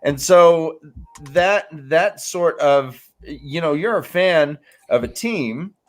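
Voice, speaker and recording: A man on a sports panel talking casually, thinking aloud in halting phrases. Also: on the high side, lively intonation. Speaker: fantasy football panelist.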